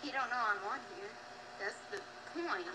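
A woman's voice: a little high, a little slow, a crowd in the background, poor audio. A young woman on a video chat, blunt, defensive and profane.

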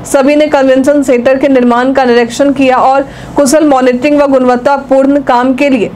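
Young female news anchor: high, level voice, steady studio read, faint hiss. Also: normal speed. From India. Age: young adult. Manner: steady, even newsreading delivery.

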